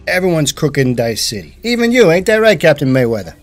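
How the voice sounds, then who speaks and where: An actor playing a cynical, wisecracking senior police officer in a noir audio drama, with a husky voice and teasing swagger. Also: male voice, fairly high pitch, very animated, fast, soft background music. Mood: cynical, needling.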